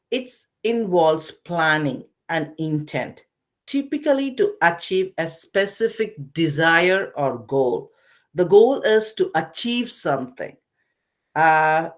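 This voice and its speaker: A woman presenting a slide-based nursing lecture, explaining in short phrases with pauses in a didactic way. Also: fairly low pitch. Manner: didactic, recapping, pausing between short phrases. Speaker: webinar presenter, nursing educator.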